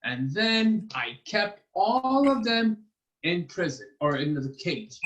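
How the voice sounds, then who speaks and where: A man as a clever boy storyteller, high-pitched. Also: sing-song, a bit bright, heavily acted, low-quality recording. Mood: patient, sly. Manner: drawn-out, emphatic, suspenseful delivery. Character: clever, funny boy storyteller spinning an endless tall tale.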